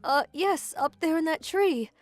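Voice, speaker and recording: Casting: woman as sweet teenage love interest, high-pitched, polite, earnest, romcom manga dub. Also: measured pace, soft background music. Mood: worried. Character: Misaki, sweet earnest lovestruck teenage schoolgirl.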